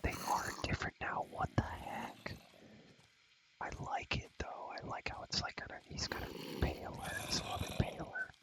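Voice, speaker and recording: A young man whispering ASMR commentary over a game in a rambling, enthusiastic, slangy way, often repeating his phrases. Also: normal speed. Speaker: ASMR creator showing a game world.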